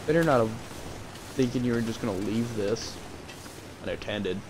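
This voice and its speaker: A man casually commenting while he plays a game, in a calm, fairly monotone voice that drifts into rambling asides. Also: medium pitch, normal speed, background noise. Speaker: gameplay streamer.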